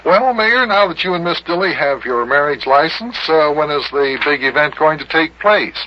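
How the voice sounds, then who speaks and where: A man playing a genial, sensible grown-up host in an old-time radio comedy, courteous and measured. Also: on the high side, lively intonation, close to an everyday voice. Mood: courteous, interested. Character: genial, sensible grown-up radio pal named Big Jon. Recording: dull, noisy vintage radio recording.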